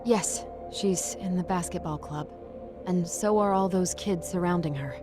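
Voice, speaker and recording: A woman's voice: on the low side, measured pace, natural voice. An actress playing an earnest, strong-willed female homeroom teacher with a pointed, formal manner in a video game drama. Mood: guarded, concerned. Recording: music behind the voice.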